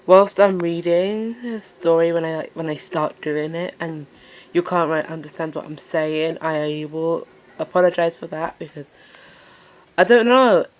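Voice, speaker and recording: Deep-voiced nervous woman. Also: a little slow, a little hiss or hum. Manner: nervous, hesitant, many fillers. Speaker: story reading channel host. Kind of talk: casual self-introduction to camera.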